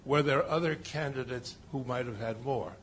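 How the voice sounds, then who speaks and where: Audience man, halting questioner, faint background noise. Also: medium pitch. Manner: halting, with fillers. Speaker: audience member asking a question. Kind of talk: question at a panel discussion.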